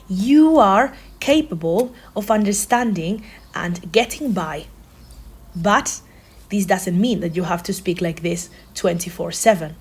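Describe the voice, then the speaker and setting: A woman's voice: fairly low pitch, slightly rough. A young woman from southern Spain, a native Spanish speaker, talks through an informal English lesson in a thoughtful, rambling way with many fillers. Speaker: English teacher.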